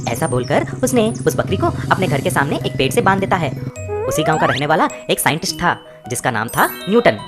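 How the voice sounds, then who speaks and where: A woman narrating a children's cartoon story in an expressive storytelling way. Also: fairly low pitch, brisk. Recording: music bed behind.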